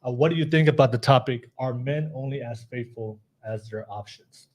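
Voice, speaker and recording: A man's voice: mid-range voice, moderate pace. A man hosting a casual podcast panel, moderating with lots of fillers. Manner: relaxed, filler-heavy moderating. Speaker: podcast host.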